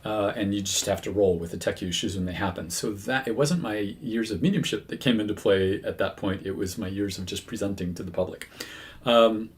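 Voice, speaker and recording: A man with a fairly low voice talks to his viewers in a relaxed, conversational way. He often stops to restart a sentence and fills the gaps with "um" and "uh". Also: a little fast. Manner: conversational, many fillers and self-corrections. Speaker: medium, channel host. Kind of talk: casual talk to camera.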